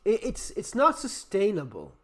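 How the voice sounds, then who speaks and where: A man giving a blunt, profane, exasperated rant about a video game while his voice is going hoarse. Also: high-pitched, wildly swooping pitch, normal speed. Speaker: gaming commentary YouTuber. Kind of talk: ranting video commentary.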